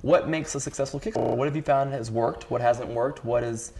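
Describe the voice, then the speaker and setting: A man's voice: mid-range voice, steady tone, fairly quick, vocal fry, mellow, a little hiss or hum. A man hosting a casual interview, putting questions to his guests.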